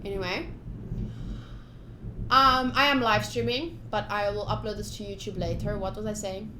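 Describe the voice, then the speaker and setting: A woman's voice: medium pitch, fairly even intonation, normal speed, roomy sound, noisy background. A woman live-streaming a game, chatting casually and informally and speaking straight to her viewers. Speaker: live streamer playing a visual novel.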